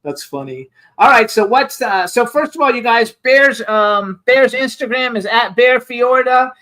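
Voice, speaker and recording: A man hosting a live celebrity interview show, talking in a chatty, rambling, excitable way. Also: very high-pitched, unhurried. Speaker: talk show host.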